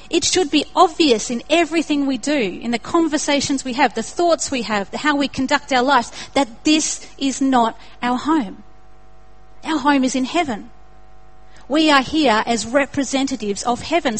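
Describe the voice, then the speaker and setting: Earnest Australian woman preaching. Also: high voice. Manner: earnest, builds to emphatic repetition. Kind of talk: sermon.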